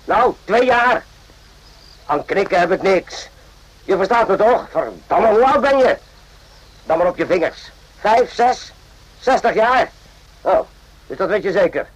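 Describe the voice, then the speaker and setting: An actor playing an irritable, suspicious army medic in a radio drama, with a gruff, interrogating manner. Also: male voice, sits high, lively intonation, a little slow, pauses often, no room sound. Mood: impatient, barking. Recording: dull lo-fi radio play recording.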